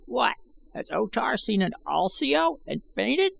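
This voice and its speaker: A man's voice: very high-pitched, very animated, slow pace, gravelly, fairly bright tone, voice pushed up, exaggerated voice. A man voicing a sarcastic, fearless old taxidermist in an adventure storybook. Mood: sarcastic, defiant. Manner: taunting, stubbornly fearless. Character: sarcastic, defiant old male taxidermist of an alien city.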